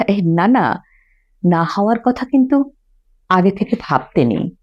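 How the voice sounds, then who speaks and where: A woman's voice: mid-range voice, sing-song, dull audio. A woman voicing a kindly, hesitant male doctor who repeats words as he reassures, in a dramatic story reading. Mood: gentle, reassuring. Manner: halting, repeats words when pressed.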